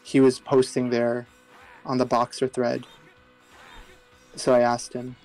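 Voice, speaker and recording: Flat-voiced man breaking down tech. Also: mid-range voice, takes it slowly, faint music behind, poor audio. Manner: even-toned, technical analysis. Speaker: stream co-host and tournament organizer. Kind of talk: casual live fighting-game commentary.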